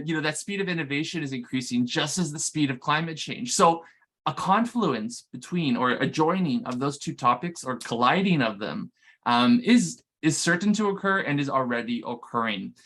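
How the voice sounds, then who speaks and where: A man's voice: medium pitch, a bit bright. A man giving a conversational conference presentation, with frequent restarts and fillers. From Canada. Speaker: conference speaker.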